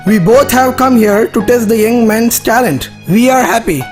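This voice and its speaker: A woman's voice: fairly high pitch, normal speed, a little mellow, faint music behind, lightly acted. A woman playing a pleased, gracious visitor to a royal court in a children's cartoon, speaking formally. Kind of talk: theatrical children's cartoon dialogue.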